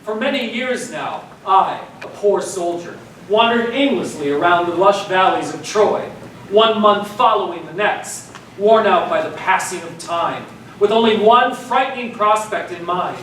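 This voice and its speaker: A man's voice: high-pitched, lively intonation, a little slow, a bit bright. A man playing a weary soldier of the chorus, declaiming solemnly on stage, recorded echoey from far off with background noise. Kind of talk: theatrical stage acting.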